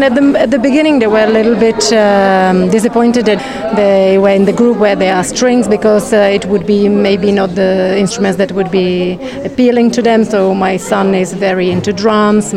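A woman in her thirties or forties from the Czech Republic, with a Czech accent, answering interview questions as a parent in hesitant, restarting English. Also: mid-range voice. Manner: hesitant, many fillers and restarts.

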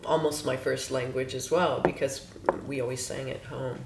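A woman's voice: a bass voice, fairly even intonation, moderate pace, gravelly, very noisy. Woman in an echoey room. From Los Angeles, USA. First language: Hungarian. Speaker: guest musician. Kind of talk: casual interview chat.

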